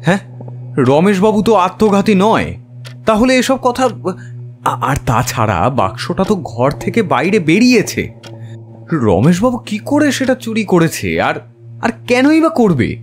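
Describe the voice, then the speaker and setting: A man's voice: high-pitched, huge pitch swings, a little slow, soft background music, full character voice. A man playing a detective's earnest, easily astonished companion in an audio drama, speaking in an emotive, questioning way. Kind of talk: dramatised audio story, narration and dialogue.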